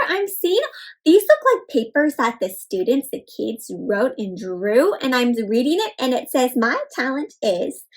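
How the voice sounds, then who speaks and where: A woman storyteller guides children through a picture book. Her voice is high and highly animated in pitch. She keeps checking in with questions and leaves gaps for young listeners to answer. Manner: asks listeners questions, pauses, addresses children as friends. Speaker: read-aloud storyteller and teacher. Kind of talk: interactive children's read-aloud.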